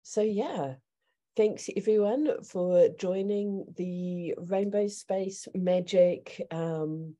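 A woman's voice: mid-range voice, extremely slow, mellow. A woman moderating a panel on a video call from a bedroom at home, wrapping up in a chatty, slightly scattered way. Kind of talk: casual panel wrap-up. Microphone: headset on video call.

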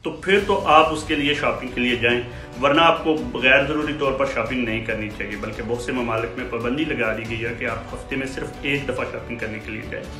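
A man in his forties giving measured advice to camera from a small room at home, pausing often with fillers. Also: mid-range voice. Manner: measured, with frequent pauses and fillers. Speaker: commentator. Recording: roomy small room with faint music.

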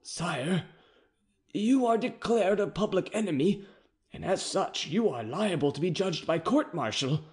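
Male reader as an anxious junior officer: raised, thin voice, halting and deferential. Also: sits high, animated, voice pushed up. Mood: nervous. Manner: faltering, stammering, deferential. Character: nervous young male army captain, hesitant. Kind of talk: audiobook character reading.

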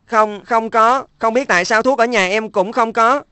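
A woman's voice: on the high side, moderate pace, bright, forceful tone, clean tone, no room sound. A woman voicing an earnest, respectful young boy in a cartoon dub. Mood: worried. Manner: earnest, childlike.

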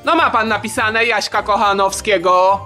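Woman acting a bright, effusive museum guide. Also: medium pitch, normal speed, faint music behind, close to an everyday voice. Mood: flustered. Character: effusive, flustered museum guide welcoming researchers. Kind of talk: acted comic history sketch.

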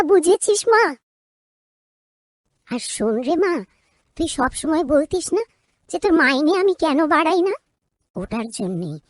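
A woman's voice: sits high, dry recording. A woman voicing a kind, motherly older lady of the house in a cartoon story, affectionate and full of feeling. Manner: affectionate, emotional, coaxing.

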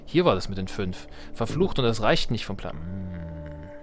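A man's voice: deep voice, big pitch swings, unhurried, a little hiss or hum. A man giving casual let's play commentary on a strategy game, thinking aloud as he plays.

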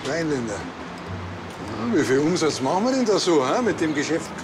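A middle-aged Bavarian small-town policeman with a somewhat high voice. He is insistent, suspicious and sure he knows best. It is natural TV crime comedy dialogue with music behind it. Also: big pitch swings, fairly quick. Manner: insistent, know-it-all.

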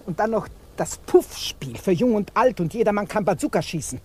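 A woman's voice: mid-range voice, lively intonation, moderate pace, close to an everyday voice. An actress playing a tyrannical, tight-fisted businesswoman aunt in a radio play, scolding with sarcasm and command. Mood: sarcastic, exasperated. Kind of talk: 1950s German radio play acting. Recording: faintly noisy old 1950s radio recording.

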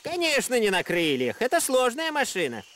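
An actor voicing a nervous, fussy mechanic in a jungle cartoon, with a flustered manner. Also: male voice, extremely high pitch, huge pitch swings, moderate pace, noisy background, heavily acted. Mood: irritated. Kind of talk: animated series voice acting in Russian.

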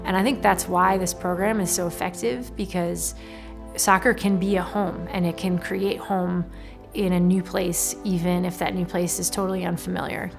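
A woman in her thirties speaks in an earnest, heartfelt interview. Her voice is lowish with a gravelly fry, and music plays behind her. She was recorded indoors. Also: level tone, normal speed. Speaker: program director. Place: indoor home room.

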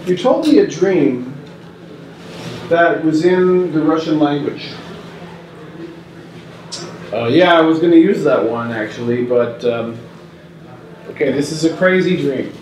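Man, 40s, measured reader with halting restarts; distant mic, faint room ambience. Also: fairly high pitch, animated, darkish tone, echoey room, muffled recording, slight background noise. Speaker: performer reading interview notes. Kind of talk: reading recorded interview answers aloud. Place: small indoor gallery room with hanging paper strips. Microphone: far camera mic.

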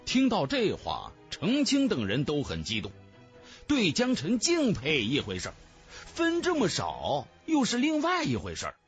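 A man's voice: on the high side, huge pitch swings, unhurried. Male narrator for fantasy audiobook: theatrical, hugely varied intonation, faint music bed. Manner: theatrical, storytelling. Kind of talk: dramatic scripted narration of a fantasy novel.

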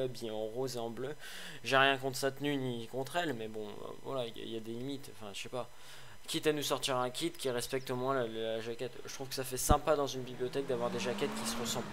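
A man hosting a casual game review, slightly hoarse, rambling with fillers and restarts, tossing in sarcastic asides. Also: mid-range voice, a bit bright, slight background noise. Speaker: gaming video host.

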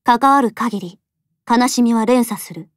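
A woman playing a solemn, resolute fox deity in an audio drama, speaking in a measured, deliberate way with sorrow underneath. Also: on the high side, no echo, clean studio sound, close to an everyday voice. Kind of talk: Japanese voice drama acting.

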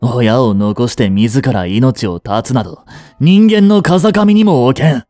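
A man's voice: mid-range voice, lively intonation, a little slow, mellow, no echo, soft background music, close to an everyday voice. A man playing a cold, haughty young prince in a romantic audio drama, curt and imperious but secretly protective. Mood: stern, bitter.

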